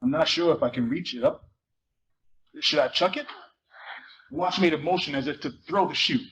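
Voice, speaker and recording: A man's voice: fairly high pitch, moderate pace, fairly bright tone. A man giving a dramatic reading of a novel, narrating and acting out its dialogue. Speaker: theater performer reading a book excerpt aloud. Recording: muffled live recording with faint noise.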